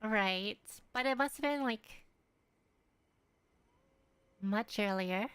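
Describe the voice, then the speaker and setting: A woman's voice: medium pitch, slow, fairly bright tone, smooth, dry recording. A young woman streaming a game, thinking aloud in a rambling, hesitant way with lots of fillers. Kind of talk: casual gameplay commentary.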